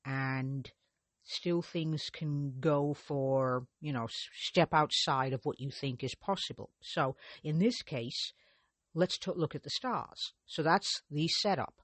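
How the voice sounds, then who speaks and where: A man's voice: fairly high pitch, takes it slowly, a bit bright, no room sound. A man hosting his own podcast, narrating a mystery in a conversational way with frequent restarts and hesitations. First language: not Russian. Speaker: podcast host.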